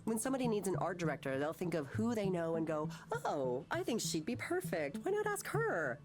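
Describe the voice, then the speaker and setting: An actress voicing an anguished, bitter, self-doubting woman artist in a naturalistic visual novel, speaking haltingly and emotionally. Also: lowish voice, big pitch swings, over music. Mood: anxious, wistful. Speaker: counselling patient.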